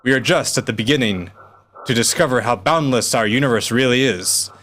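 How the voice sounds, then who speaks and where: A man narrating in a theatrical, deliberate style, like an announcer of vintage radio drama. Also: mid-range voice, a bit bright, a little hiss or hum.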